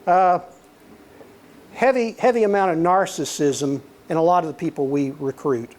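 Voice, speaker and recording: A man's voice: fairly high pitch, normal speed. An older man with a soothing voice giving a conversational lecture, telling anecdotes and acting out dialogue. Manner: relaxed storyteller, acts out quoted dialogue. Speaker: guest lecturer, former intelligence officer.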